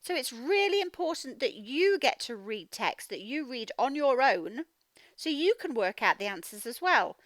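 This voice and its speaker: Bright, animated high-voiced woman teaching children. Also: normal speed, no room sound. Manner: animated, instructional, emphatic, sounds out words. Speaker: teacher reading a children's phonics story. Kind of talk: children's read-aloud lesson, explaining directly to young learners.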